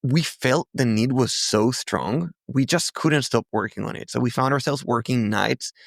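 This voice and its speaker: A man's voice: medium pitch, moderate pace, vocal fry, no echo, clean studio sound. A man speaking as an interview guest, a startup founder talking in a conversational, storytelling way.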